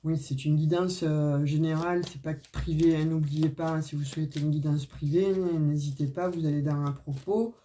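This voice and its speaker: A woman's voice: low voice, fairly even intonation, normal speed, hardly any pauses, mellow, slight background noise. A woman with a regional French accent, not a Parisian one, giving a casual tarot and oracle card reading. She talks straight to her viewers and fills her speech with hesitations and little tag words. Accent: non-Parisian French regional accent. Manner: chatty, full of "euh" and "hein" fillers, addresses viewers directly.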